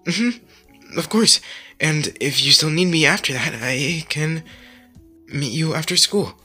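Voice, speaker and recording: Anime ASMR roleplay: shy, kind teenage hero boy, played by a man, unhurried, stammering and apologetic, music underneath. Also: a little high, expressive, bright, forceful tone, slightly rough, voice pushed up, heavily acted. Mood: hesitant, concerned. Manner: hesitant, halting, apologetic, with stammers and pauses.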